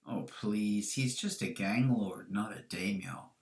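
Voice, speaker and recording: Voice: low voice, slow, pitched below the performer's own voice. An author voicing a stubborn, irritable old man who looks young, protesting casually in a storybook reading. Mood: dismissive. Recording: roomy, echoing sound.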